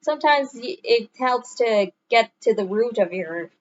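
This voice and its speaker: A woman narrating a children's story aloud in an expressive storytelling manner. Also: on the high side, unhurried, muffled recording. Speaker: storybook reader.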